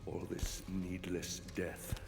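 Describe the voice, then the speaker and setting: A man playing a stern, defiant Roman general in a video game, speaking solemnly and with deliberate command. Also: low-pitched, level tone, vocal fry, heavily acted. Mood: cold accusation. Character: stern, defiant Roman general, battle-hardened male soldier. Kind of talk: dramatic video game cutscene acting. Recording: loud music under the voice.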